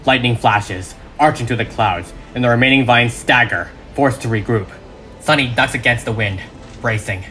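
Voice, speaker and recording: A man's voice: mid-range voice, normal speed, bright, forceful tone. A man reading a script as dramatic narration, with emphatic, suspenseful delivery. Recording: music behind the voice.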